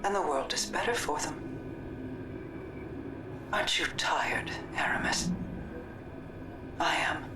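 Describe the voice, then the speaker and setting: An actress playing a weary, wistful alien noblewoman in a game, telling tales in a hushed, measured manner. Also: sits low, lively intonation, whispered. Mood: weary, pleading. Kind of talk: scripted game drama. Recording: echoey, distant, noisy ambience.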